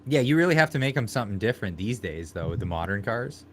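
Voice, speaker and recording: Laid-back man in his forties, low voice. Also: sing-song, fast talker, a little hiss or hum. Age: 40s. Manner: laid-back, laughs often. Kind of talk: casual chat.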